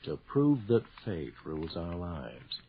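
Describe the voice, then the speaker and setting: Deep, haunted old sergeant major. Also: male voice, sing-song, slight background noise, exaggerated voice. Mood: ominous. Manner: theatrical, ominous storyteller with dramatic pauses. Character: haunted old English sergeant major back from India, grave and foreboding. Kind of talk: old-fashioned radio horror drama acting. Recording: dull, lo-fi old radio drama recording.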